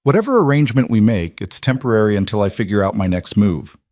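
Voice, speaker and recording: A man's voice: lowish voice, lively intonation, fast talker, darkish tone, no echo, natural voice. A man voicing a proud, weary pregnant woman, an estranged wife with a firm, guarded manner, in an audiobook. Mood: firm, wary.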